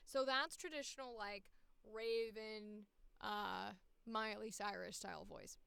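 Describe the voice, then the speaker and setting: A woman's voice: medium pitch, fairly even intonation, takes it slowly, vocal fry, fairly bright tone, clear, resonant tone, dry recording. A woman voice actor chatting casually on a livestream, reading casting calls aloud and musing to herself as she goes. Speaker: voice actor streaming auditions.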